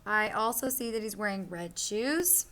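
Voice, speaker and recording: A woman's voice: mid-range voice, animated, moderate pace, sharp tone. A woman teacher leading a read-aloud lesson for children, explaining step by step in simple words. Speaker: elementary school teacher.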